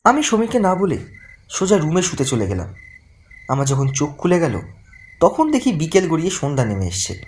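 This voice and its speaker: A man's voice: on the high side, huge pitch swings, normal speed, slightly rough, heavily acted. A man playing a frightened young man who tells a horror story in dramatic, suspenseful first-person narration. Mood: fearful suspense. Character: frightened young man recounting his haunted-house visit. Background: music.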